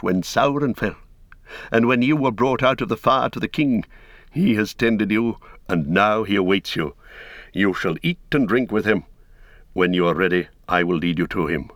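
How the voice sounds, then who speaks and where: A man voicing a wise, kindly old male wizard who speaks in a measured, stately way. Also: lowish voice, dry recording, studio quality, close to an everyday voice.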